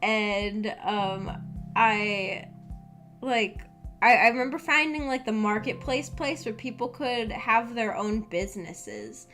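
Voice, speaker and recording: A woman's voice: fairly high pitch, expressive, takes it slowly, a bit bright. A woman streamer chatting casually to viewers, telling personal stories in a rambling, self-deprecating, hesitant and giggly way. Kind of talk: casual livestream chat and personal storytelling. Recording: background music under the voice. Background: background music.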